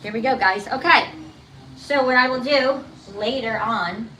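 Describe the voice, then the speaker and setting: A woman's voice: a little high, unhurried, sharp tone, slight background noise, rough recording. A woman with a New Jersey accent and a slight lisp, chatting casually on a livestream while she cooks and does dishes in her kitchen. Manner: chatty and upbeat, hums and sings along. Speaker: livestream host. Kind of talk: casual livestream chat while cooking and cleaning. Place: home kitchen with running water. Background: tap water running.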